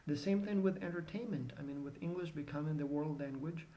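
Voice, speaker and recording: Lecturer, man, slightly high voice, casual explaining style with fillers. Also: brisk, mellow, lo-fi sound. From Ukraine. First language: Ukrainian. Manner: conversational, with frequent fillers and restarts. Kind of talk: recorded university lecture.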